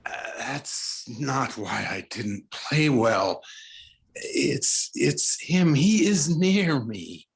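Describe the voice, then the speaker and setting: Man acting a haunted, halting concert pianist, slow and gravelly. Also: medium pitch, animated, exaggerated voice. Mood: anguished, hesitant. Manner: halting, stammering, overwrought delivery. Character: tormented male concert pianist, haunted and unraveling. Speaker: actor. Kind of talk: 1940s radio drama acting.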